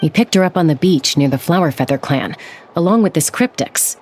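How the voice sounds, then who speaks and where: A woman voicing a practical young adventurer who asks calm, steady questions. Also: low-pitched, a little fast, slight background noise.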